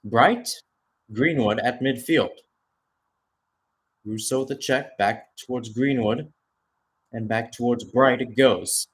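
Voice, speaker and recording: A man's voice: medium pitch, takes it slowly, long pauses. A man doing live radio-style soccer commentary as the play-by-play announcer, narrating in short clipped phrases.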